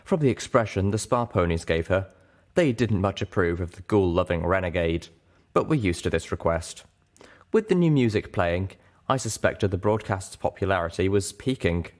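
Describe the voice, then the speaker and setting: A man's voice: deep voice, animated, normal speed. A man reading scripted first-person fiction as an audiobook narrator. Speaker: audiobook reader.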